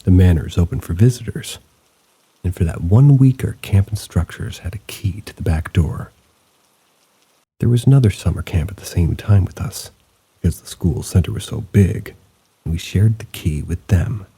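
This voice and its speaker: A man narrates eerie first-person stories in a low, gravelly voice. His tone is very dark and husky, and he keeps a measured, low-key storytelling pace. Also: lively intonation, low-quality recording. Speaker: host and narrator. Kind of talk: reading listener stories aloud.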